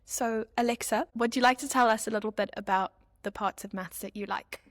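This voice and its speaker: An upbeat woman in her twenties from South Africa co-hosts a podcast, her voice sitting a bit high. She reads her lines with a lively, scripted flow, and the recording is dry and close with no room sound. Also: brisk, dry recording. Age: 20s. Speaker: podcast co-host.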